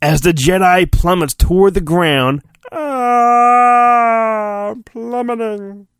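A man's voice: high-pitched, extremely slow, a bit bright, clean tone, dry recording, clean studio sound. A man hosting a podcast, giving a comic, mock-earnest dramatic storybook reading with chatty asides and his own sound effects.

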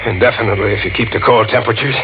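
A man's voice: lowish voice, rapid-fire, natural voice. An actor playing a jealous, unhinged craftsman in a 1940s radio horror drama, agitated and melodramatic. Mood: uneasy, questioning. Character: jealous, unhinged wax-mask maker in a horror tale. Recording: muffled old radio recording, music behind.

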